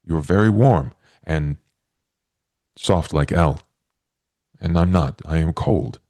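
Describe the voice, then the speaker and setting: A man's voice: rumbling low, slow pace, dark tone, no echo, studio quality, full character voice. A man playing a naive, blunt vampire with stony skin in a tabletop game, speaking in stiff, plain, literal phrases. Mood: earnest, solemn. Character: naive, blunt male stone-skinned vampire, earnest and literal.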